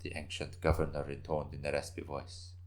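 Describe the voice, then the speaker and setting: Deep-voiced man narrating dramatically. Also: level tone, a little fast, darkish tone. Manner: intense, theatrical. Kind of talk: dramatic story reading, scripted narration.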